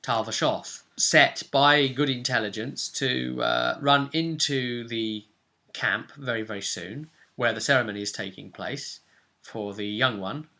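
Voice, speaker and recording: Bright-voiced man recapping a tabletop game. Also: mid-range voice, vocal fry. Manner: conversational, explanatory, acting out characters' lines. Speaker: game master. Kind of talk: recapping a tabletop role-play session.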